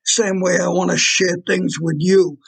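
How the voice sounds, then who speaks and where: Male actor as irritable mob fixer, high male voice, husky, streetwise slang, menacing, audio play. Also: flat delivery, unhurried, heavily acted. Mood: defensive. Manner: blunt streetwise slangy talk.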